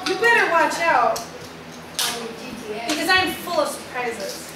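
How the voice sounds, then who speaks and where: A woman's voice: sits high, very animated, slow, a crowd in the background. A woman playing general manager in a live wrestling promo, taunting and sarcastic. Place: small indoor wrestling venue with audience. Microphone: far camera. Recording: very echoey room, far camera, loud noise.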